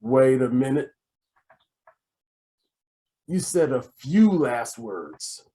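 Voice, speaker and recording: A man's voice: medium pitch, sing-song, extremely slow, mellow. A man acts out a tough radio-drama crime boss who takes his time over every threat. His delivery swings up and down, bossy and sarcastic, with impatience always close to the surface. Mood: menacing, sardonic. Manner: tough-talking, bossy, sarcastic gangster slang. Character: menacing, impatient male gang boss.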